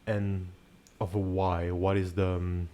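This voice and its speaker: A man's voice: sits low, flat delivery, slow, mellow. A man from Cameroon who moved to France as a child, hosting a conversational podcast interview in an earnest, reflective way. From Cameroon, then France from about age seven. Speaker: podcast host.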